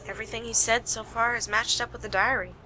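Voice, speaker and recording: A woman's voice: on the high side, steady tone, quick pace, sharp tone, slight background noise, low-quality recording. A woman playing a keen, analytical amateur detective in an amateur radio play. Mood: thoughtful.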